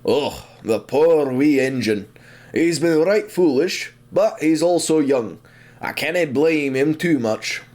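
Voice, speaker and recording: A man voicing a big, kindly old tank engine with a Scottish accent, blunt and deliberate, in an audio drama. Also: on the high side, expressive, a little mellow. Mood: good-natured. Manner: forward, deliberate, good-natured.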